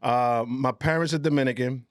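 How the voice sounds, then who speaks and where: A man, a guest on a casual podcast, relaxed and slangy, often trailing off quietly. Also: mid-range voice, moderate pace, dry recording, clean studio sound. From New York.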